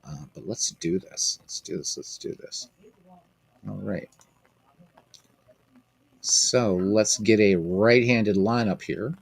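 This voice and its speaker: A man's voice: lowish voice, slow, creaky, long pauses, breathy edge. A man hosting a casual live stream of a baseball game, rambling, repeating himself and chatting with viewers by name.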